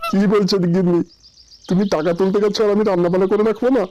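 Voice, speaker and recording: A man voicing a scheming village husband in a comic cartoon, coaxing and flattering in hurried, repeated bursts. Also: high-pitched, soft background music, natural voice. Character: wheedling scheming village husband who flatters his wife. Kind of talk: comic Bengali cartoon dialogue.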